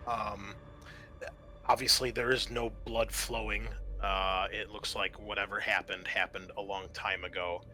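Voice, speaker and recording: A man acting as game master, describing scenes in a conversational, improvised, halting way for a tabletop roleplaying game. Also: fairly low pitch, little pitch movement, pushed and bright, a little hiss or hum.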